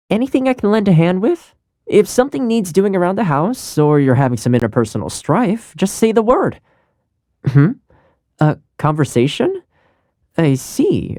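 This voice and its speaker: An actor playing a friendly, loyal young retainer in a video game, speaking in a chatty, earnest, conversational way. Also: male voice, high voice, warm tone, no room sound, clean studio sound. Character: friendly, easygoing young retainer man, loyal and good-natured. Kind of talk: video game character voice lines.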